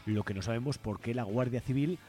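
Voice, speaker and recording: A man with an accent from Spain hosting a radio show, giving informal commentary and announcements with frequent hesitant pauses. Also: medium pitch, mellow, faint music behind.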